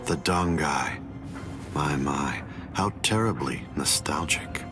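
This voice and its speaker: An actor playing a calm, coldly arrogant arch-villain in an anime game dub, composed, pompous and condescending. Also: male voice, extremely low pitch, slow pace, music in the background. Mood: gloating.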